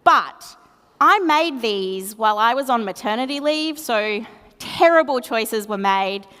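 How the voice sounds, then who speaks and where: A woman with an Australian accent talks through a conference presentation in a laid-back, self-mocking way. Her voice sits a little high and comes across smooth and clear. A long reverb from a big hall trails behind it. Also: measured pace. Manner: relaxed, self-deprecating, chatty with fillers. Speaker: conference speaker. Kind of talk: tech conference talk.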